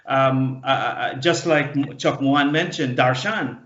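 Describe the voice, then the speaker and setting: A man in his fifties speaks as a panel guest, recalling his past earnestly and stumbling over repeated words. His voice sits at an ordinary pitch for a man. The sound is muffled and roomy, like remote audio in an echoing space. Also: fairly bright tone. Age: 50s. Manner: halting, earnest, often repeats and restarts words. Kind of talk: personal recollection in a panel discussion. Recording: dull, echoey remote audio.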